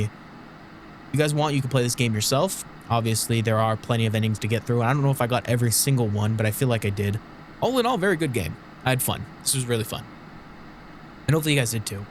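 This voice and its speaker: A man's voice: mid-range voice, a little hiss or hum. A man giving casual, reflective, rambling commentary as he hosts a video game playthrough. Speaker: gaming video host.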